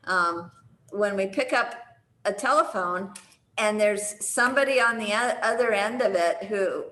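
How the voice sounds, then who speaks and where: Slow, measured woman in a roomy space. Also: medium pitch, bright, forceful tone. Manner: measured, reflective. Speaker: conversation circle participant. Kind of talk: thoughtful sharing. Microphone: video call. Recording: roomy video call audio.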